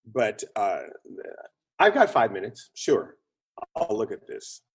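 A man speaking as an expert interview guest, explaining conversationally in an animated way with short punchy phrases and a slightly husky voice. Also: on the low side, takes it slowly. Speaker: interview guest, screenwriting consultant and producer.

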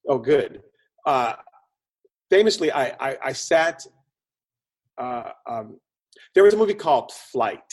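A man's voice: medium pitch, sing-song, slow pace, long pauses, husky. A man speaking conversationally as an interview guest, explaining ideas like a storyteller and acting out quotes. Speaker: guest, film producer and script consultant.

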